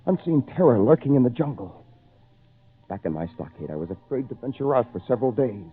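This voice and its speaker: An actor playing a lonely, earnest castaway in an old radio drama, with theatrical, deliberate phrasing. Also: male voice, mid-range voice, very animated, music in the background, close to an everyday voice. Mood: brooding, hopeless. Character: lonely castaway man, brooding, earnest, excitable. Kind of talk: old time radio drama narration and acting. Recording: tinny, noisy old radio recording.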